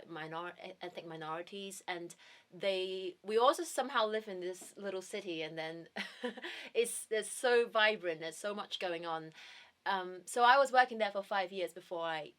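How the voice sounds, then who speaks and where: Chatty woman from Hong Kong, lowish voice. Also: a bit bright. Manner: chatty, many fillers and restarts, laughs easily. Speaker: guest, actor and drama teacher. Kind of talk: casual interview chat.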